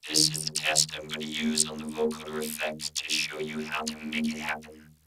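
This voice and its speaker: Monotone robotic vocoded man, very deep. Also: moderate pace. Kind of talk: scripted test phrase played back. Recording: very noisy, humming vocoder buzz.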